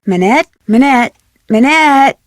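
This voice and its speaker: An American woman playing a curious, plucky, polite young detective in an adventure video game, with clear, inquisitive delivery. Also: high voice, expressive, takes it slowly, no room sound, studio quality. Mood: polite, upbeat. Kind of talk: scripted adventure game dialogue.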